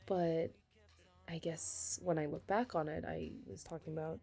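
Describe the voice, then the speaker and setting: A young woman playing a flustered, affectionate best friend with a secret crush in an intimate ASMR roleplay, speaking hesitantly and haltingly. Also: low voice, steady tone, mellow, faint music behind. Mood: shy, confessional. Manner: hesitant, halting, breaking into nervous laughs. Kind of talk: ASMR romantic roleplay, intimate conversational acting.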